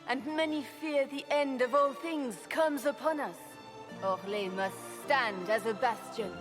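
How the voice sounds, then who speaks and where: Regal empress for fantasy game: actress, slow solemn oratory, slightly high voice, formal and stately. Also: female voice, natural voice. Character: stately, solemn empress addressing her court. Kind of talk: fantasy game cutscene speech. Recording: long hall reverb, music behind.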